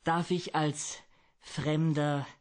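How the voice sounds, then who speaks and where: An actor playing a fussy, effete foreign man in a German radio play, excessively polite, formal and halting. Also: on the high side, little pitch movement. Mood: unctuous, formal.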